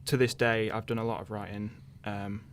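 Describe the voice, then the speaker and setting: Creaky, low, even-toned young Englishman. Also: moderate pace, a little hiss or hum. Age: young adult. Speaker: interviewed radio drama writer. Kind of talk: casual interview chat. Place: radio interview.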